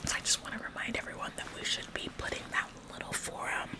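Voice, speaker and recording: A woman's voice: quick pace, close to an everyday voice. A woman playing an officious, nitpicking office employee who acts like the boss in a whispered ASMR roleplay, nagging repetitively with condescending, faux-polite phrasing. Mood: officious, faux-humble.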